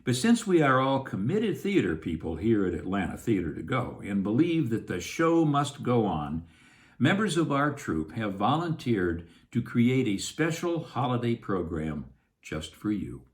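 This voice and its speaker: A man's voice: mid-range voice, very animated, a little slow. A man, an actor giving a scripted introduction to a program.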